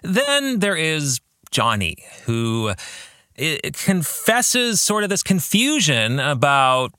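Bright, very animated male podcast host. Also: fairly high pitch, takes it slowly, no echo, clean studio sound. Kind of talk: scripted podcast monologue.

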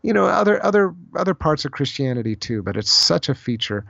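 Gravelly man explaining thoughtfully in conversation. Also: a little high, sing-song, fairly bright tone, no echo. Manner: halting, thoughtful, repeats phrases as he explains. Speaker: podcast guest, author on Celtic spirituality. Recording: slightly dull, lo-fi audio.

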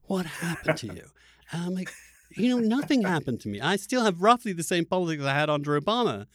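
A man's voice: a little high, very animated, a little fast, no echo. A man hosting a political discussion podcast, speaking emphatically and rhetorically, repeating phrases for stress. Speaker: podcast host.

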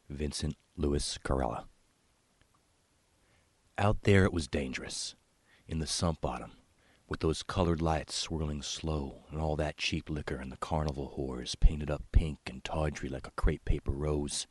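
A man's voice: very deep voice, a little slow, slightly rough, dry recording. A man reading his own literary short story aloud in a folksy storytelling manner.

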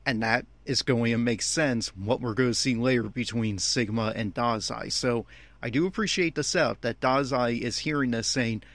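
A man giving opinionated, complaining episode commentary as a host, with emphatic, halting phrasing. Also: medium pitch, a bit bright, no room sound. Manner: exasperated, complaining. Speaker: fan podcast host.

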